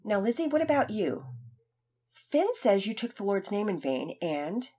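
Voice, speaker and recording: Calm teacher man, as a woman reads him. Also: medium pitch, breathy edge, pitched above the performer's own voice. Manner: calm, measured. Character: calm, serious male Sunday school teacher.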